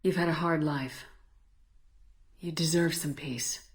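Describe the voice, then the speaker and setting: An actress playing a steady, determined military commander in a video game, speaking in a direct, plain-spoken way. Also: female voice, low voice, slow. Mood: frank, supportive. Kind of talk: dramatic video game dialogue.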